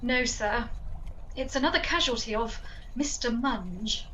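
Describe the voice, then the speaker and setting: A woman's voice: a little high, measured pace, very noisy, lightly acted. A woman playing a sensible, chiding assistant to a detective in a comic radio play, prim in manner. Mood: prim, reasonable.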